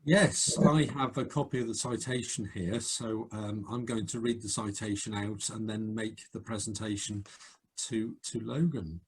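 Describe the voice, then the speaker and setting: A man speaking as a cadet force commandant, formally presenting and reading out in a measured way. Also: fairly low pitch, steady tone, slightly rough, poor audio.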